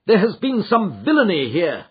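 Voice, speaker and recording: Man voicing eager Victorian detective, raised pitch, husky edge, lively swings, dull lo-fi sound. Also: exaggerated voice. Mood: urgent. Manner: brisk, emphatic, eager. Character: keen, commanding male Victorian detective. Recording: dull lo-fi recording.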